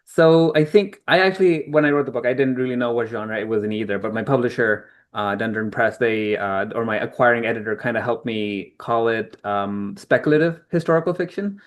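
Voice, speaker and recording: A man's voice: mid-range voice, fairly quick, hardly any pauses. A middle-aged man from Pakistan, an author being interviewed, explaining things thoughtfully with frequent ums and restarts. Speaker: author guest. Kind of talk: conversational interview answers.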